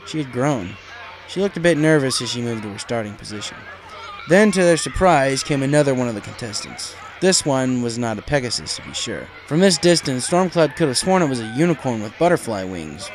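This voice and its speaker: A man's voice: mid-range voice, background noise. A man reading a story aloud as scripted audiobook narration. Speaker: audiobook reader of fan fiction.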